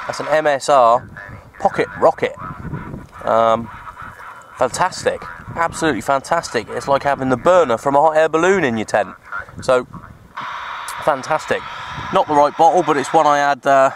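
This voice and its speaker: A man giving casual, halting, unscripted commentary for an outdoor hobby vlog, recorded on a handheld camera. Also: mid-range voice, noisy background. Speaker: vlogger, CB radio hobbyist. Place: open hillside under trees, outdoors. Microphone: handheld camera microphone.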